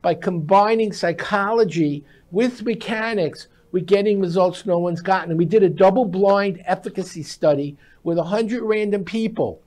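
A man's voice: high-pitched, a little mellow. A man in his sixties explaining things in an emphatic, instructive way, in short declarative sentences, as a guest expert in a studio interview. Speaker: guest expert, speed reading teacher. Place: TV-style studio at a glass desk. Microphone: lapel mic.

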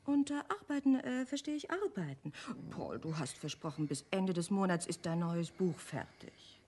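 An actress playing a witty, affectionate but bossy detective's wife in a German radio play, with a dry, teasing manner. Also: female voice, on the low side, sing-song, moderate pace, a little hiss or hum. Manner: dry, teasing, gently scolding.

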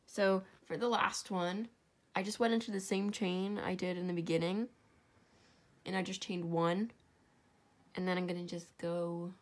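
A young woman with a stuffy, congested voice, casually talking through a crafting tutorial and often dropping to a whisper. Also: fairly low pitch. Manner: chatty, self-deprecating, often drops to a whisper. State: congested, sore throat. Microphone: camera over a tabletop, hands only.

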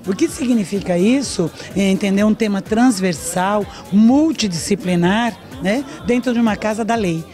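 An older woman sociologist answering interview questions in a measured, lecturing way, into a handheld reporter mic in a large meeting hall. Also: mid-range voice, warm tone, soft background music. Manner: measured, lecturing, frequent "né?" tags.

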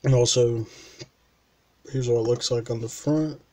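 A man casually showing his custom toy figures, halting with fillers and restarts, sniffling with a dry mouth, recorded on a camera mic at his desk. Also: medium pitch, steady tone, dark tone. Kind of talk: casual showcase narration.

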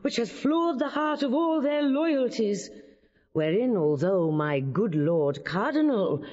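A woman's voice: a little high, sing-song, slow, a little mellow. A woman playing a dignified, earnest queen pleading boldly in classical verse for an audio drama. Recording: muffled, long hall reverb.

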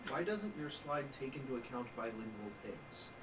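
A man's voice: medium pitch, sing-song, moderate pace. Male audience heckler, dry and teasing, heard from across the room with hiss. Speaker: audience member asking questions. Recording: distant voice with clear room hiss.

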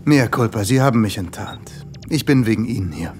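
An actor playing a suave, courteous and mysterious male visitor in a comedic sci-fi audio drama, flattering and presumptuous. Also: fairly low pitch, a little fast, faint music behind, close to an everyday voice. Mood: charming, flattering. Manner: smooth-talking, courteous, presumptuous.

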